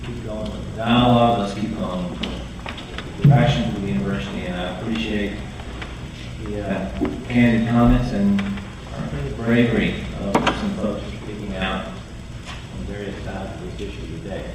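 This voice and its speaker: Low-voiced man chairing in echoey hall. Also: fairly even intonation. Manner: measured, hesitant with ums. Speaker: board meeting chair. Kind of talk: formal meeting remarks. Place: large meeting hall. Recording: echoey hall, distant mic, noise.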